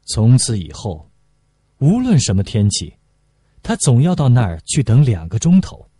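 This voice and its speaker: A man's voice: medium pitch, very animated, normal speed, warm tone, breathy edge, no room sound, studio quality. A man reading a novel aloud as a scripted audiobook narration.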